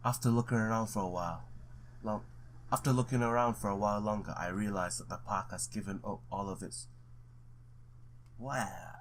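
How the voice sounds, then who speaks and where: Low-voiced man reading a game aloud. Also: fairly even intonation, moderate pace, long pauses, a little hiss or hum. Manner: informal, stumbles over read words. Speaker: gamer reading a visual novel aloud. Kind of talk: let's play, reading story text aloud with casual asides.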